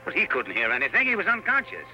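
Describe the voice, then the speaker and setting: An American actor playing a blustery, folksy Western sheriff in an old-time radio drama. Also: male voice, on the high side, wildly swooping pitch, quick pace, sharp tone, heavily acted, poor audio. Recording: old radio broadcast with music behind.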